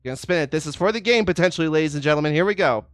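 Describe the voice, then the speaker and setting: Brief: male game show host, congested, showy patter, smooth clear voice, dry close sound. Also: on the high side, expressive, rapid-fire, no room sound, soft background music. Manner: showy announcer patter, calls out totals and ladies and gentlemen. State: congested from a summer cold. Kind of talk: hosting an online game show.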